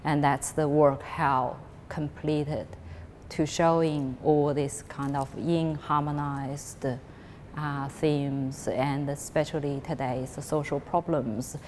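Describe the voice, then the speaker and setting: Woman, very low and slow, halting artist's explanation, echoey gallery with soft noise. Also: steady tone. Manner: halting, searching for words, many restarts. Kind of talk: explaining her artwork in an interview. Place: gallery space. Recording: long reverb with faint background noise.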